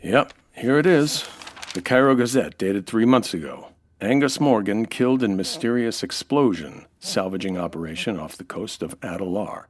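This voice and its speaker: A man's voice: lowish voice, expressive, moderate pace, a little hiss or hum, lightly acted. Man playing a wry hardboiled American noir hero. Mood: dry, probing. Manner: dry, laconic, wisecracking. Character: wry, hardboiled American nightclub owner, 1940s detective type. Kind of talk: old-time radio noir narration and dialogue.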